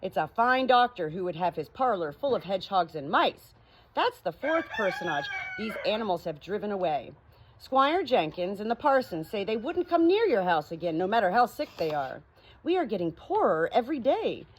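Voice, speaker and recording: A woman's voice: mid-range voice, very animated, normal speed. A woman reading an exasperated, scolding sister and housekeeper in a children's storybook, reproachful and emphatic. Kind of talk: children's storybook read aloud. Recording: clearly noisy, farmyard ambience with roosters.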